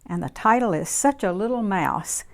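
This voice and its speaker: A woman reading a picture book aloud to young children in an expressive, deliberate, sing-song way. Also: medium pitch. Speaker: children's story reader.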